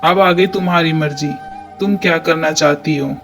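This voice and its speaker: A man plays a struggling, unwelcoming brother in a cartoon. His voice is high for a man, blunt and dismissive over music. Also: steady tone, normal speed, a bit bright, close to an everyday voice. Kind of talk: cartoon dialogue. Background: background music.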